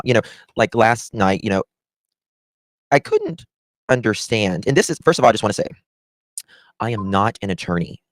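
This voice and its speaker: A man's voice: lowish voice, dry recording. Chatty man, dry close sound. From Southern United States. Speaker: livestream host. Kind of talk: casual livestream commentary.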